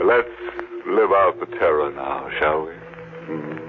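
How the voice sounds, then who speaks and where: A man's voice: rumbling low, slow pace, music in the background. An actor playing a gleefully ghoulish male host of a 1940s radio horror show, sly and macabre. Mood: sly glee. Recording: tinny, noisy old radio recording.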